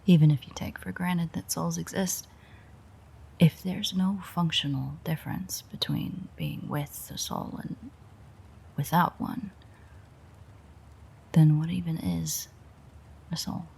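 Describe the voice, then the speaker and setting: A woman playing a weary, sardonic, teasing female vampire in an intimate audio roleplay, with a breathy, husky voice that often drops near a whisper and a dry, sarcastic way of talking. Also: low-pitched, steady tone, takes it slowly, pauses often, darkish tone, full character voice. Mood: weary, musing. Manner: dry, rambling, sarcastic banter.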